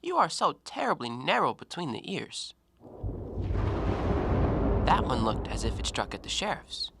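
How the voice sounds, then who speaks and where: An actor in an audio play, playing a talkative, self-assured intellectual who probes and needles with articulate, deliberate theatrical phrasing. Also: male voice, fairly high pitch, wildly swooping pitch, creaky, background noise, heavily acted. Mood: probing, pointed. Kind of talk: stage-style audio play monologue.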